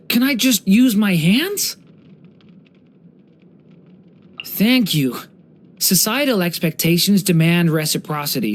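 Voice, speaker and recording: An actor voicing an earnest, curious young boy dragon in human form for a video game, speaking politely and softly with some hesitance. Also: mid-range voice, slight background noise. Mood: polite, sheepish. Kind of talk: scripted game character voice lines.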